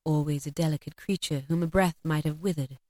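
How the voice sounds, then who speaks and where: A woman voicing a solemn spirit guide, speaking in a grave, measured, restrained way. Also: low-pitched, steady tone, darkish tone, no echo, clean studio sound, pitched below the performer's own voice.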